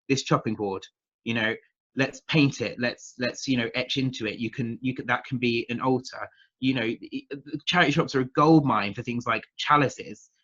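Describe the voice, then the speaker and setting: A man with a southern English accent talks in a casual interview through slightly muffled, lo-fi audio. His voice sits at a middling pitch. He rambles and hesitates, circling round his points as he goes. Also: sharp tone. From England, near London. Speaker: interview guest, witchcraft practitioner.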